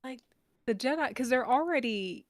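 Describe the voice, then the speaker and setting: A woman's voice: high-pitched, lively intonation, moderate pace, no room sound, clean studio sound. A woman guest chatting casually, thinking aloud in a hesitant, teasing way. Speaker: guest on an audio drama after-show. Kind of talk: casual chat.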